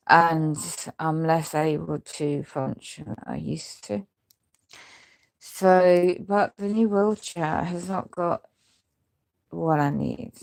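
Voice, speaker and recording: A woman speaks in a very low, crackly voice, thick with a chest infection. Her delivery is slow and halting, short of breath, as if talking is an effort. She rambles through an aggrieved personal account in broken phrases. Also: vocal fry. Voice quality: crackly, deep voice. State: ill with a lung infection, breathless, struggling to speak. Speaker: housing tenant speaking out. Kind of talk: rambling personal video testimony.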